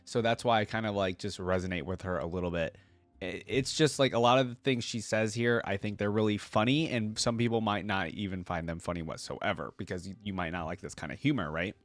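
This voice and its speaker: A man's voice: fairly low pitch, fairly quick, no echo. A man giving casual reaction commentary as a video host, talking informally and thinking aloud in a conversational way.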